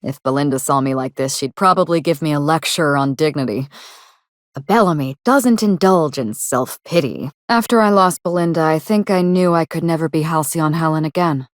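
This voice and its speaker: A low, closely recorded, dry-sounding voice for a video game acted by a woman: a proud actress in mourning. She speaks in measured, formal phrases, wistful yet wry, with a quietly ruthless streak. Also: dry recording, natural voice. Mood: resigned, melancholy. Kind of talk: video game dialogue acting.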